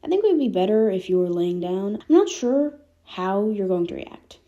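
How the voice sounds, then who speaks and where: A woman's voice: mid-range voice, very animated, moderate pace, mellow, lo-fi sound. A woman voicing a candid, irreverent teenage boy with ghostly powers in a fan fiction reading. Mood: honest, unsure.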